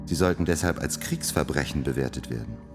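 An actor playing a grave courtroom figure in a radio drama, speaking in firm, formal, declarative statements. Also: male voice, rumbling low, fairly quick. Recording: long reverberant hall, music behind.

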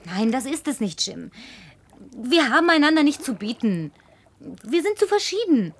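A woman's voice: sits high, sing-song, moderate pace. An actress dubbing a spoiled, lonely young city woman who talks in a playful, coaxing, teasing way. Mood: pleading. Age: young adult. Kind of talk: German film dub.

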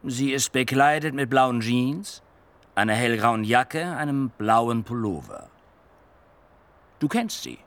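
A man's voice: medium pitch, animated, slow, long pauses, fairly bright tone. An actor playing a coolly controlled, menacing man of authority in a radio crime drama, terse and commanding.

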